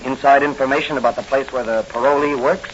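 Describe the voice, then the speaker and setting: A man's voice: medium pitch, steady tone, fast talker, natural voice. An actor playing an earnest young rookie policeman with a secret crime-fighting life, in 1940s radio drama style. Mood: earnest, concerned. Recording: hissy, dull old radio recording.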